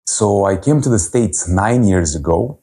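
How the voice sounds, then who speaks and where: Man in his 30s, health coach, low voice, slow earnest delivery full of pauses. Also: expressive, dark tone, breathy edge. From Serbia. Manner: earnest, unhurried, with frequent pauses and fillers. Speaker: holistic health coach and speaker. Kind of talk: personal story talk at a virtual event.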